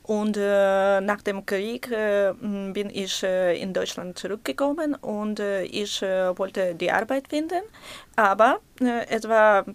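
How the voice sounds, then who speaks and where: A Ukrainian journalist with a Ukrainian accent, speaking halting German as a non-native speaker, with many hesitations and fillers. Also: female voice, mid-range voice, clean tone. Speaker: guest journalist. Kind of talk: interview answers.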